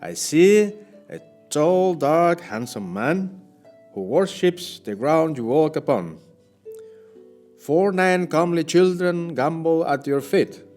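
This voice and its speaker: An actor playing a shipwrecked Spanish captain in an audio play, a courtly flatterer with a florid, theatrical way of speaking. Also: male voice, on the high side, slow pace, faint music behind. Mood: flattering, theatrical. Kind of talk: audio play acting and narration.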